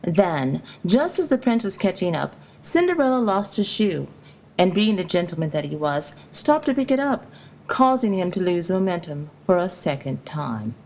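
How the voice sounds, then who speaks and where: A woman's voice: fairly low pitch, big pitch swings, moderate pace, darkish tone, slight background noise, poor audio. A woman reading scripted storytelling narration for an educational video, explaining in a storybook-like way. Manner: storybook-like, explanatory delivery with rhetorical questions. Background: music.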